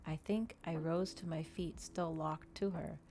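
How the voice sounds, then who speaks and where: Low-pitched woman reading a story, ASMR style. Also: fairly even intonation, unhurried, dark tone. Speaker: streamer reading aloud. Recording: clear background ambient noise.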